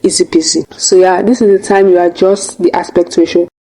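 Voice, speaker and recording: A woman's voice: on the low side, level tone, fairly quick, mellow, rough recording. A woman hosting a tutorial, narrating step by step in a casual way. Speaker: tutorial host.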